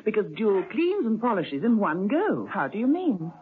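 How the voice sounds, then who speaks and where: A woman's voice: mid-range voice, wildly swooping pitch, normal speed, a little hiss or hum. A woman playing a chatty housewife in a 1970s radio commercial, chirpy and sing-song. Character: chatty female housewife in a floor-cleaner ad. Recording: tinny old radio broadcast.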